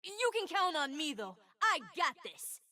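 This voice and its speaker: A woman's voice: extremely high pitch, big pitch swings, a little slow, bright, forceful tone, full character voice. A woman voicing an eager, kind, playful young boy adventurer in a video game, with an innocent, exclamatory manner. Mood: eager, proud. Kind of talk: video game character voicelines.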